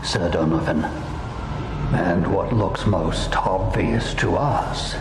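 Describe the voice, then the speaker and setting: An actor playing a grave, formal, commanding grandmaster of a fantasy order in a video game cutscene. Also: male voice, low-pitched, noisy background. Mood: grave, measured. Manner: measured, formal, authoritative. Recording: roomy echo with music behind.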